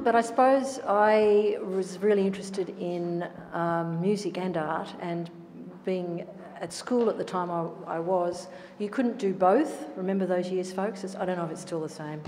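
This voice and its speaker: A middle-aged woman talks about her work in a reflective, self-deprecating way. Her voice sits a little low. It echoes with long reverb and faint noise. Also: moderate pace, a little mellow. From Australia. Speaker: painter. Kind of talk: panel discussion answer. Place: art gallery room.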